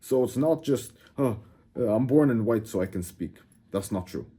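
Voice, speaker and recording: A man's voice: medium pitch, expressive, moderate pace, mellow, husky. A man from Egypt whose first language is Arabic, talking straight to camera in a casual, brash, emphatic way and often saying "bro". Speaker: self-improvement YouTuber. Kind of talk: casual direct-to-camera talk.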